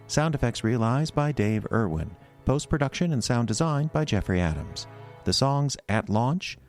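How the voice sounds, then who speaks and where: A man's voice: medium pitch, a little mellow. A man narrating an audio drama in a storytelling style, as its narrator and announcer.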